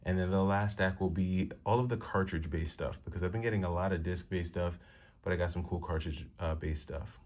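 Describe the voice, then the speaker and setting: A man with a deep, rumbling voice talking quietly at home late at night, casually showing the games he has picked up. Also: fairly even intonation, brisk. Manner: quiet, hushed talk to avoid waking the family. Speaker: retro game collector vlogger. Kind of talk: casual haul show-and-tell.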